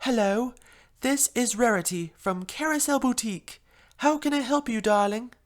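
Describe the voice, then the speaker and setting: A woman's voice: a little high, moderate pace, pitched above the performer's own voice, lightly acted. A woman voicing a refined, fretful female pony fashion designer with a theatrical, genteel manner in a first-person story. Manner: theatrical, genteel, uses "darling". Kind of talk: first-person fan story narration in character.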